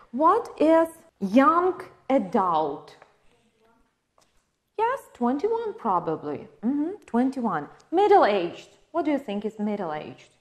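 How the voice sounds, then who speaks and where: A woman's voice: on the high side, a little mellow. A woman with a Ukrainian accent teaching an English lesson, patiently asking questions and confirming answers. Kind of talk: online English lesson for exam prep.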